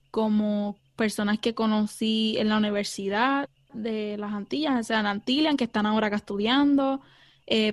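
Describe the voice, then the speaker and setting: A woman's voice: mid-range voice, dry recording. A young Puerto Rican woman, a theology student, answering interview questions earnestly with many fillers and some English words. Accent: Puerto Rican Spanish. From Puerto Rico.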